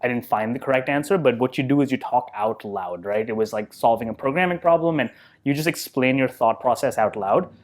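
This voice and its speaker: A man's voice: medium pitch, brisk. A man in his thirties, guest on a podcast, talking casually and thoughtfully, often with "like" and "you know". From India. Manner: thoughtful, self-deprecating, filler-heavy. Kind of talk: casual interview conversation.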